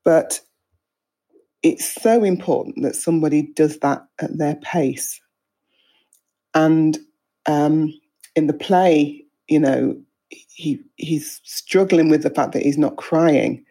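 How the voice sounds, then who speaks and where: A counsellor hosting an interview, explaining things in a calm, measured way. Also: medium pitch. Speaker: counsellor host.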